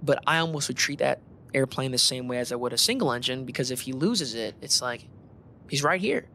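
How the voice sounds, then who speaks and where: A man with a bright, forward voice chats informally as an air traffic controller recounting his work. He slips into quoted exchanges and slang as he explains. A faint rumbling noise sits behind him. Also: fairly quick, vocal fry. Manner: animated, informal, uses slang and quoted dialogue. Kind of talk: casual conversational storytelling, acting out radio exchanges.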